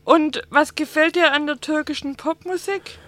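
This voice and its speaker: A woman hosts a casual radio interview and keeps her questions short and direct. Her high, bright voice sits close and dry on the mic, with no room sound behind it. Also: fairly even intonation, moderate pace, dry recording. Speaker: radio presenter.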